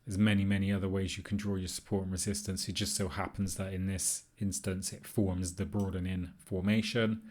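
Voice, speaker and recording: Low-pitched man, informal tutorial. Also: level tone, a little fast, hardly any pauses, darkish tone. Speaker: trading tutorial presenter. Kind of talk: informal screen tutorial, unscripted explanation.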